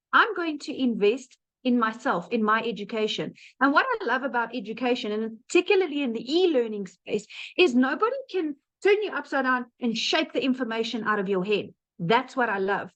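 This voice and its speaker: A woman's voice: sits high, fairly bright tone. A young adult woman with a South African accent giving an earnest testimonial on a webinar, her talk full of ums and restarts. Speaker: webinar guest speaker.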